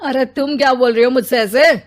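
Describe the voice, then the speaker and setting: A woman voicing a grumpy, demanding husband and father in an animated family story, complaining and dismissive. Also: sits high, fairly quick, natural voice.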